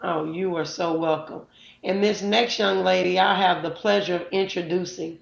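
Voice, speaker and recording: A woman's voice: on the low side, fairly even intonation, moderate pace, gravelly, reverberant, lo-fi sound. A woman hosting a live audio chat room, talking casually with many fillers and introducing guests. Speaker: host.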